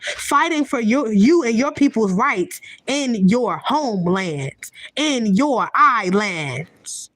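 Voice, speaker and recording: A woman's voice: fairly high pitch, animated, slow pace, fairly bright tone, dry recording. A woman giving a heated, profane, unscripted rant to her viewers, blunt and repetitive, often restarting her sentences. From United States. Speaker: vlogger.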